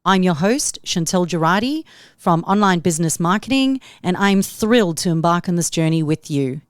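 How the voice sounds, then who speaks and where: A woman's voice: on the low side, animated, normal speed, clear, resonant tone, dry recording, studio quality. A middle-aged woman with a South African accent, hosting a solo podcast in an upbeat, motivational tone. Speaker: podcast host.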